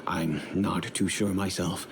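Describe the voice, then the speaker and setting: An actor playing a grave middle-aged father and head of a noble family, speaking in a measured, solemn, storytelling way over game music. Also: sits low, little pitch movement, slight background noise.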